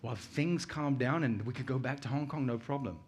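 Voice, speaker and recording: A middle-aged British man presents to a conference audience in a conversational way. His voice sits at a medium pitch, and he talks informally, like someone sharing personal stories and putting questions to the room. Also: fairly quick, darkish tone, a little hiss or hum. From United Kingdom, born in Uganda. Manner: conversational, anecdotal, puts questions to listeners. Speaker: guest conference speaker.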